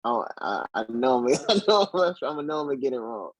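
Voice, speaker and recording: A young guest talks in an easygoing way at a middle pitch and laughs between phrases. His voice sounds dry and close, with no room echo. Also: very animated, fairly quick, lo-fi sound. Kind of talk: casual chat.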